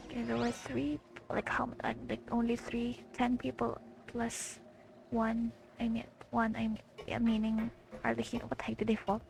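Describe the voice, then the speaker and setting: A woman reacting casually as she plays a game, muttering to herself and breaking into excitable exclamations. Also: a little high, moderate pace, creaky, a little hiss or hum, rough recording. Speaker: gamer.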